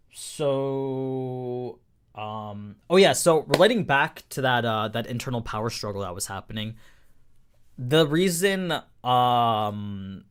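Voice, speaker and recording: A young man streaming live, chatting casually with a slightly hoarse voice, rambling with fillers and restarts while he reads the chat. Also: medium pitch.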